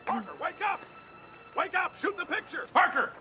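An actor playing a shouting, impatient middle-aged newspaper boss in a film clip, barking orders at an employee. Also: male voice, very high-pitched, sing-song, normal speed, soft background music, heavily acted. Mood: impatient yelling.

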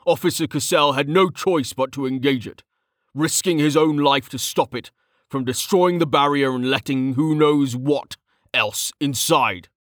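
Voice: measured pace, no room sound, clean studio sound, natural voice. Narrator doing a stern, derisive male mage officer. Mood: scolding. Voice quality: deep. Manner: calm authority turning to snapping contempt.

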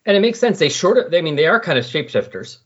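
A man talking casually and loosely on a comics podcast, thinking aloud as he explains, with a slightly husky voice. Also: on the high side, sing-song, fast, a bit bright. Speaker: podcast co-host discussing comics. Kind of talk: casual, rambling comics discussion. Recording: dull lo-fi voice recording.

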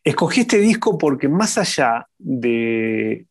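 Expressive Argentine man telling stories. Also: medium pitch, slow pace, husky. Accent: Argentine Spanish. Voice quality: warm, expressive, lingering on repeated words. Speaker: singer, interview guest. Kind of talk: reflective conversational storytelling.

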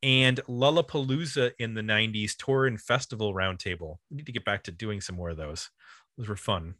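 A man's voice: fairly low pitch, fairly quick, bright, forceful tone, no echo, clean studio sound. A man with an American accent hosting a podcast, talking casually and giving announcements. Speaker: podcast host.

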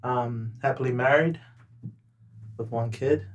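A man's voice: mid-range voice, slow pace, highs cut off. A young man from New Zealand, a podcast guest, chatting casually with many ums and easy laughs. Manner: relaxed, hesitant, many ums, laughs easily.

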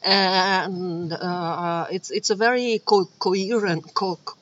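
A woman talks in a relaxed interview, pausing and searching for the right English words. The recording sounds dull and lo-fi, with a faint noise underneath. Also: on the low side. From Romania. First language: Hungarian. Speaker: bag designer, guest. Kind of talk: casual interview chat.

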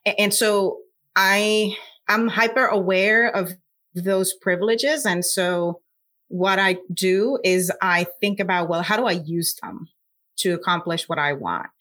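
A woman's voice: mid-range voice, unhurried, fairly bright tone. A woman from Puerto Rico with a Puerto Rican accent, a scientist speaking earnestly in an interview, with frequent fillers. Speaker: scientist guest. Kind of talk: conversational interview.

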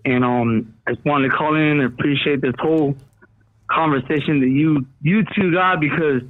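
A man's voice: fairly high pitch, normal speed. A man calling in to a show, chatting casually in slang and rambling a little. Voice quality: slangy, rambling, laughing asides. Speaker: caller. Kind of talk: casual chat over phone. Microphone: phone call. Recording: tinny phone line.

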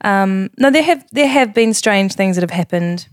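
A woman's voice: mid-range voice, lively intonation, normal speed, no room sound, studio quality. A young woman with a thick New Zealand accent, chatting openly as a podcast guest and laughing often. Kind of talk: casual podcast chat.

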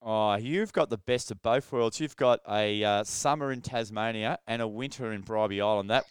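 A man's voice: fairly low pitch, clean tone, no echo, studio quality. A man hosting a podcast and interviewing a guest in a chatty, enthusiastic way. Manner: chatty, enthusiastic, quick exclamations. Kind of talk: friendly interview.

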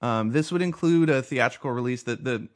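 A man's voice: mid-range voice, brisk. A man hosting a casual chat about film news, talking with many fillers and restarts.

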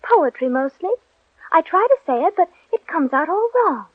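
A woman's voice: very high-pitched, big pitch swings, normal speed, no echo. A woman playing a polite, curious young girl who muses aloud to herself in an old radio play. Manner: polite, wondering, talks to herself. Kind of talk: 1940s radio acting. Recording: dull old radio recording.

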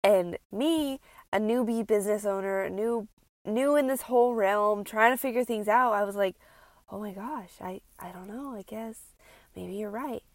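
A woman's voice: medium pitch, moderate pace, dry recording, studio quality. A woman hosting a chatty solo podcast, speaking informally and emphatically straight to her listeners, with a stuffy, congested nasal sound. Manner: animated, emphatic, repeats phrases for effect; informal, conversational, addresses listeners directly. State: stuffed-up nose. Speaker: podcast host and health coach for moms.

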